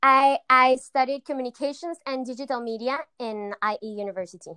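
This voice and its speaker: Young Latin American woman founder, smooth voice. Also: high-pitched, fairly even intonation, fairly quick, bright, forceful tone, no echo, studio quality. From Argentina. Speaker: founder of a fitness company. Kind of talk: interview answers.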